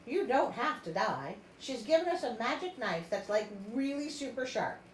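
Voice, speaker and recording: Woman playing an insistent, high-voiced young mermaid sister. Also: very animated, moderate pace, roomy sound, a little hiss or hum. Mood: slangy, eager. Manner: slangy, insistent. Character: urgent mermaid sisters, slangy, grimly bargaining.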